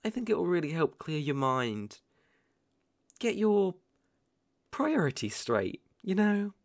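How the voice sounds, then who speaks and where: A man playing a possessive, lovesick boyfriend in an ASMR roleplay, cooing with gentle condescension and quiet menace, often hushed and breathy. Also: sits high, big pitch swings, slow, no room sound, studio quality, exaggerated voice. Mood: adoring, coaxing. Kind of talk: ASMR roleplay, dramatic monologue.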